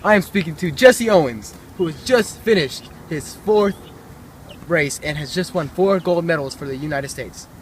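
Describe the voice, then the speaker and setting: A man's voice: fairly high pitch, normal speed. Man reading news over faint background noise. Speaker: news anchor and reporter in an amateur newscast.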